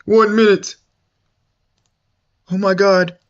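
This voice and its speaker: A man's voice: high voice, level tone, slow, highs cut off. Slangy young man chatting on stream. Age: young adult. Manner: slangy and repetitive, drawling and mumbly. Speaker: streamer reacting to a video. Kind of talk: casual livestream reaction chat.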